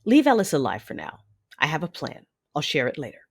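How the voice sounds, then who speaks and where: A woman's voice: sits low, huge pitch swings, vocal fry, slightly rough, exaggerated voice. Woman acting commanding Sith lord, widely varied intonation. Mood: calm, authoritative. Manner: imperious, measured, formal. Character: commanding, coolly menacing female Sith lord, a mother. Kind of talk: audio drama acting.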